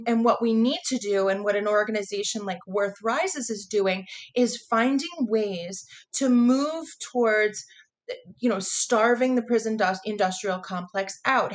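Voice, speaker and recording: An American woman giving earnest, emphatic interview answers as an advocate, repeating phrases for stress. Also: on the high side. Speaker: interview guest, prison phone justice advocate.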